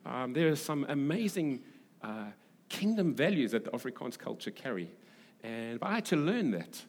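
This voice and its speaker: A man's voice: mid-range voice, very animated, darkish tone, breathy edge. South African man preaching in an echoey hall. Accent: South African English. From Durban, South Africa. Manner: conversational, halting, repeats phrases for emphasis. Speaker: preacher, pastor. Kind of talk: sermon with scripture reading and conversational asides. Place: large reverberant hall, preaching to a congregation. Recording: echoey hall with long reverb.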